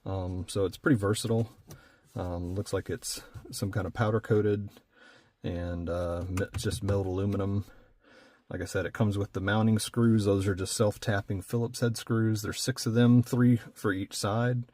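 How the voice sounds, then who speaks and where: Low-voiced man thinking aloud as he works. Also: fairly even intonation, darkish tone. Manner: unhurried thinking aloud while working. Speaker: host of hands-on car accessory install video. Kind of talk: casual hands-on demonstration talk.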